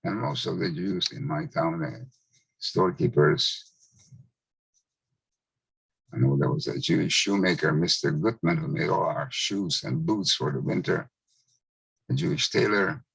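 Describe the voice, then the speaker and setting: A man in his nineties, a Hungarian native speaker from Czechoslovakia, slowly recalling his childhood with measured pauses. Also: a bass voice, gravelly, fairly bright tone, slightly rough, dry recording, poor audio. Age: 90s. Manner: slow, measured recollection with pauses. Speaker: Holocaust survivor speaker. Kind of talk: testimony talk.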